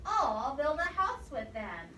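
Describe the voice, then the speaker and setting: A woman's voice: high-pitched, very animated, takes it slowly, pushed and bright, full character voice. A woman voicing an earnest little male javelina, a hairy wild pig in a children's storybook. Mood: determined. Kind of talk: children's storybook read-aloud. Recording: echoey, distant microphone, noisy room.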